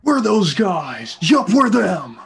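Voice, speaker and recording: A man voicing a wary, wisecracking hero with a husky voice and halting, eccentric delivery in an amateur script reading. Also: high-pitched, big pitch swings, slight background noise, full character voice. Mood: hasty bluffing.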